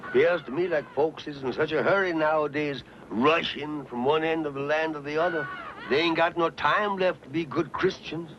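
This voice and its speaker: A man's voice: a little high, normal speed, background noise, close to an everyday voice. A man playing a folksy Southern frontier preacher, muffled soundtrack. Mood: solemn, pious. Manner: folksy, sermonizing, rustic speech. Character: folksy frontier preacher, earnest, pious, talkative soul-saver. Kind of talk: Western film acting. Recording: muffled old film soundtrack with music.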